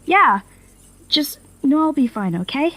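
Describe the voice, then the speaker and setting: An earnest female informant played by an actress with a slightly high voice. She speaks tentatively and loyally, as in a natural conversation within an audio drama. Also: very animated, a little slow, a bit bright, full character voice. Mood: earnest, concerned.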